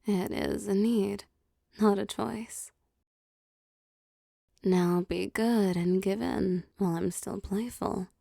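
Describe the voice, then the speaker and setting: A woman playing a possessive, doting, wealthy vampire boss in intimate roleplay audio, soft and teasing, often slipping into whispers. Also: mid-range voice, gravelly, no room sound, studio quality. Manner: slow, teasing, pampering, softly commanding.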